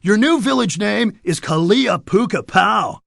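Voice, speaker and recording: A man voicing a laid-back but excitable, cowardly surfer-dude creature in a cartoon game, full of easygoing slang. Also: sits high, a little slow, vocal fry, no echo, studio quality, full character voice. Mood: triumphant. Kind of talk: scripted cartoon game dialogue.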